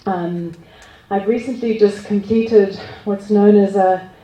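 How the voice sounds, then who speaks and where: A woman's voice: medium pitch, fairly even intonation, slow pace, mellow, roomy sound, rough recording. Laughing woman sharing at a gathering. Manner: self-deprecating, laughs often, many restarts. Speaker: group speaker sharing experience. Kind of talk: casual personal sharing at a gathering. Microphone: handheld microphone.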